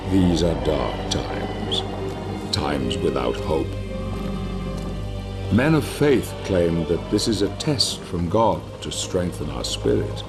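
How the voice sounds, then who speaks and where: Deep, slow, brooding dark fantasy narrator. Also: male voice, gravelly, full character voice. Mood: grave, brooding. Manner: solemn, deliberate, portentous. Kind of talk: dramatic scripted game narration.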